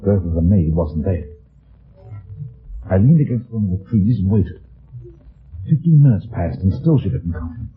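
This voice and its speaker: A man's voice: sits low, animated, husky, heavily acted. An actor playing a wry, wary, heavyset private detective, narrating his case tersely in a radio drama. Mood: brooding, wry. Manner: deliberate, terse, dry storytelling. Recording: old phone-like radio recording, music behind.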